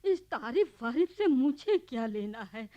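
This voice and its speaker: Slow, high-voiced old grandmother. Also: big pitch swings. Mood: weary, exasperated. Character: weary, exasperated old village grandmother, simple and stubborn. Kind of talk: radio play acting. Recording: dull archival radio recording.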